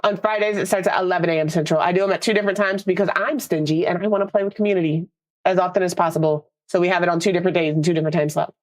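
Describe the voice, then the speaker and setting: A woman's voice: on the low side, steady tone, rapid-fire, darkish tone. Congested, nasal young woman streamer. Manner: chatty, rambling, laughs easily. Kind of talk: casual live-stream chat.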